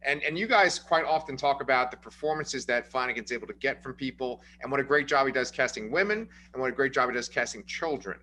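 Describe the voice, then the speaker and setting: A man co-hosting a casual movie review podcast, explaining his points in an earnest, measured way. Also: mid-range voice, pushed and bright, slight background noise. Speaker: podcast co-host.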